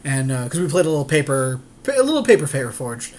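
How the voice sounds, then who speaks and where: A man's voice: mid-range voice, big pitch swings, fast, a little mellow. A man co-hosting in a casual, easygoing and agreeable chat about a game. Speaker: podcast co-host and card game player.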